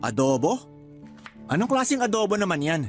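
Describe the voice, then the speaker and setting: An older brother with grown sons in a family radio drama. He wheedles for favors and bristles at any criticism, with soft music underneath. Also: high-pitched, very animated, moderate pace. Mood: casual, wheedling. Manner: wheedling, touchy, argumentative. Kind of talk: Tagalog radio drama acting.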